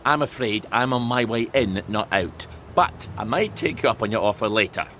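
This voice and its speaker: An actor playing a blunt, guilt-ridden Scottish ex-sergeant in a dramatic video game. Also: male voice, on the low side, animated, normal speed, pushed and bright, slight background noise. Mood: gruffly cocky.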